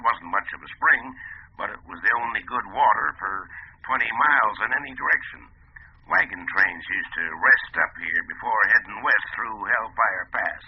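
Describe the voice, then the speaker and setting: An actor playing a folksy, stubborn Arizona mine owner with an American Southwestern drawl in a radio drama. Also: male voice, on the low side, gravelly, breathy edge, exaggerated voice. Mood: folksy, earnest. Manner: drawling, folksy, plain-spoken. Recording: muffled, band-limited radio drama telephone line.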